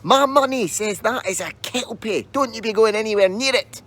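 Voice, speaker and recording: A woman's voice: a little high, moderate pace, a bit bright, voice pushed up. A woman voicing a worried, scolding Scottish wife and mother who frets in exasperation. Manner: fretting, exasperated.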